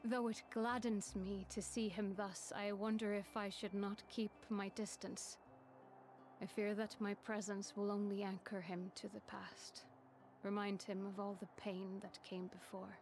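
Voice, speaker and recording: An actress playing a composed, formal woman in a game cutscene, measured in speech and quietly caring. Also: medium pitch, little pitch movement, lightly acted. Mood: wistful. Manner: measured, formal, archaic wording. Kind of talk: dramatic video game cutscene acting. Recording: music behind the voice.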